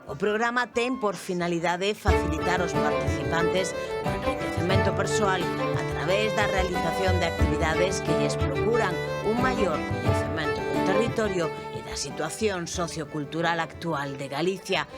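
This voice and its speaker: A woman reporter reading a scripted news report in a measured, formal way. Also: on the low side. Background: music.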